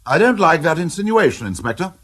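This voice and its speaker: An actor playing an irritable English lord in a radio crime drama, curt and imperious, with a husky British voice. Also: male voice, on the high side, big pitch swings, fast talker, a bit bright, full character voice. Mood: indignant.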